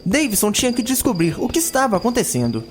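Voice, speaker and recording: A man's voice: sits high, very animated, fast, slight background noise. A man narrating a scripted fantasy audio drama in a dramatic storytelling style. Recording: background music.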